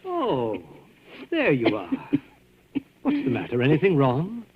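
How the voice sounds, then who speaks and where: An actor playing a deranged hotel keeper, eerily polite and menacing, in a 1940s radio suspense drama. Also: male voice, a little high, wildly swooping pitch, slow pace, noisy background, full character voice. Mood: falsely solicitous. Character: sinister, deranged hotel keeper, falsely solicitous killer. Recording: muffled old-time radio recording.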